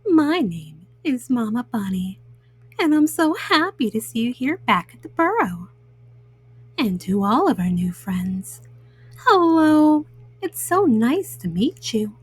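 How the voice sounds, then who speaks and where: A woman reads a children's story in a high, slightly husky voice. She takes her time, with very lively rises and falls. Her manner is gentle and soothing, as a storytime host talking straight to little listeners. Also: slow pace, dry recording. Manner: soothing, addresses young listeners directly.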